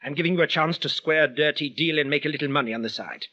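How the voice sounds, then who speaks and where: A man's voice: a little high, fast, bright, forceful tone. An actor playing a big, vengeful man from Damascus in an old radio drama, speaking formal, stilted English. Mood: urgent, embittered. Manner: formal, stilted foreigner's phrasing without contractions. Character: tall, big, menacing, vengeful bandaged foreign man from Damascus. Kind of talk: 1940s-50s radio drama acting. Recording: dull old radio recording.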